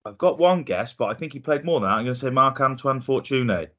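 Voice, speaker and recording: Brief: male podcast host, upbeat quiz banter, mid pitch, muffled lo-fi sound. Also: animated, fast, bright, forceful tone. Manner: upbeat, competitive, thinks aloud while guessing. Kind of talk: casual football podcast chat. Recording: dull lo-fi podcast audio.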